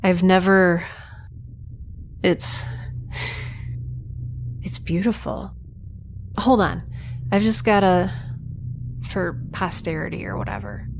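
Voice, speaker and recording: Woman as wry, low-voiced young space traveler. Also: animated, takes it slowly, gravelly, long pauses, faint music behind, full character voice. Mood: awed. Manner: wry, casual, guarded. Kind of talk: science fiction audio drama acting.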